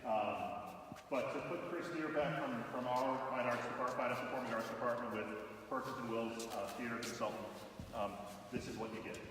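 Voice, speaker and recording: A middle-aged man, a school superintendent, giving a casual spoken tour of a new building, loud and full of fillers and restarts. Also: medium pitch, steady tone, brisk, background noise. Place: large echoey auditorium under construction. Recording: echoey hall from far off.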